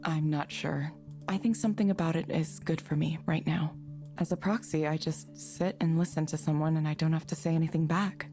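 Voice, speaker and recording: A reserved game counsellor with a lowish, soft-edged voice. Her delivery is calm, measured and understated, with faint music underneath. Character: reserved, soft-spoken woman working as counselling proxy. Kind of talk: visual novel voice acting.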